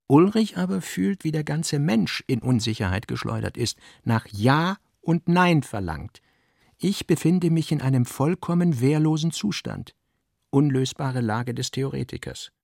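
A male actor as the grave narrator of a philosophical novel in a radio play, reading in a measured, solemn literary manner. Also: on the high side, lively intonation, vocal fry, a little mellow, no echo, clean studio sound, exaggerated voice.

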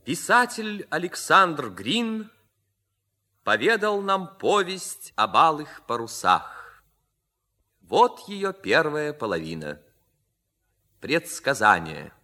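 A man narrating a literary story for radio in an expressive storytelling style. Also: fairly high pitch, slow, pauses often. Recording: music behind the narration.

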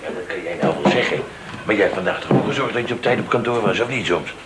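A man's voice: on the low side, lively intonation, fast, natural voice. A man playing a jovial, needling office clerk and family man in a radio play. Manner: teasing, jovial. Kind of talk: Dutch radio play acting. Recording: noisy old radio recording with clicks.

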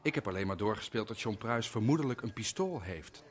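Husky, needling, principled policeman. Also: lowish voice, expressive, fairly quick. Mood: dry, reproachful. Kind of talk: radio drama acting.

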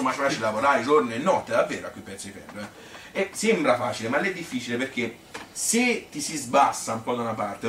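A man's voice: mid-range voice, fairly bright tone, lightly acted. An actor playing a sociable, wry Tuscan man telling rambling, colloquial anecdotes about his own life in a theatrical monologue. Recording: roomy, distant mic with faint noise.

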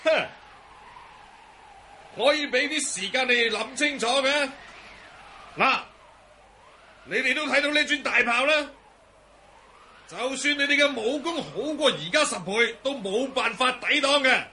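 An actor playing a gloating, menacing Mongol commander in a Cantonese radio drama, shrill and theatrically taunting. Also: male voice, a little slow, pauses often, pushed and bright, slight background noise, full character voice. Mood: gloating, threatening.

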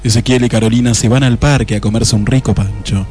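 A man's voice: medium pitch, fairly even intonation, fairly quick, darkish tone, faint music behind, natural voice. A man playing a solemn, lurid narrator in a noir parody radio play, melodramatic and lingering over his phrases. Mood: wry, storytelling. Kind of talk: scripted dramatic narration.

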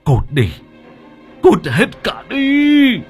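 A man's voice: extremely high pitch, wildly swooping pitch, very slow, soft background music, voice pushed up, heavily acted. A man voicing a furious, domineering middle-aged father in an audio story, cursing and repeating himself in rage. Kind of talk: acted dialogue in audio storytelling.

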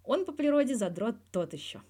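A woman's voice: a little high, very animated, a little fast, mellow. A woman voicing a guarded male hockey star in his thirties, talking candidly and wryly in a scripted interview from a fan fiction audio reading. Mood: fond. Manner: candid, wry, colloquial with swearing.

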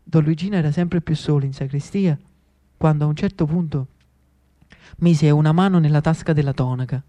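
A man with a Neapolitan accent narrating a historical monologue in a dramatic, expressive storytelling manner. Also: fairly high pitch, normal speed. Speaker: actor and storyteller.

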